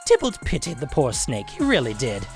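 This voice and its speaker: Casting: trickster devil narrator, male actor for fantasy audio drama. Sly, grandiose, wickedly gleeful, very animated pitch, dramatic pauses. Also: soft background music. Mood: sly, mock-sympathetic. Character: gleefully wicked trickster devil storyteller recounting his own saga. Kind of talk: theatrical scripted fantasy saga narration.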